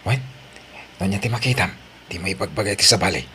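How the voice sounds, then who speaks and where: A man playing a secretive, menacing father who hushes a child in an audio drama. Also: deep voice, animated, quick pace, breathy edge, exaggerated voice. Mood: hushed threatening.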